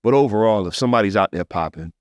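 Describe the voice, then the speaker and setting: An American man with a very deep voice speaks at a fast clip in a casual podcast discussion. He is emphatic and informal, leaning on slang and often seeking agreement. The sound is dry, with almost no room in it. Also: expressive, clean tone, dry recording. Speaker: podcast host.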